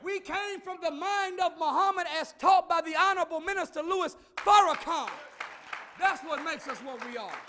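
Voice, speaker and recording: Man preaching real high, calls out to the crowd and gets loud. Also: moderate pace, a little hiss or hum. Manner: call-and-response preaching, rising to shouts. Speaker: minister. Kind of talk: sermon.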